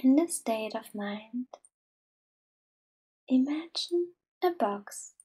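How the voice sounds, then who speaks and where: Breathy, slow-speaking woman guiding meditation. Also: high-pitched, lively intonation. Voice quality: soft, breathy, often near whisper. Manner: gentle, unhurried, with pauses. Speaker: guided meditation host. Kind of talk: slow soothing guided meditation.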